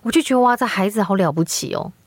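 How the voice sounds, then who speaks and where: A woman's voice: medium pitch, lively intonation, fast. A woman with a Taiwanese Mandarin accent hosting a casual interview, prompting guests with questions. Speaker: podcast host and voice teacher.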